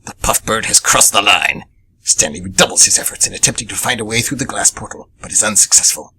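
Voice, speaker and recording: A man's voice: fairly low pitch, fairly even intonation, quick pace, pushed and bright, slight background noise, low-quality recording. A man performing radio theatre as a vengeful, obsessed little monkey demon hunter, narrating with grave drama. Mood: brooding, vengeful.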